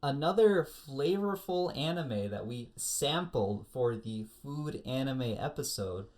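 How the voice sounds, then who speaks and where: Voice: medium pitch, lively intonation, takes it slowly, darkish tone. A co-host of an anime review show chatting casually in a jokey, slangy way.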